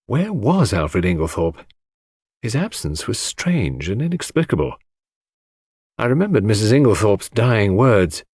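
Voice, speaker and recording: Low-pitched, animated male audiobook narrator. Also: husky, no echo, studio quality. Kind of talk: scripted first-person mystery narration.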